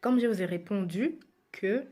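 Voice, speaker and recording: A woman's voice: medium pitch, expressive, moderate pace, dark tone. A woman in her twenties who grew up in Belgium and is a native Lingala speaker, casually introducing herself and answering followers' questions, repeating phrases for emphasis. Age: 20s.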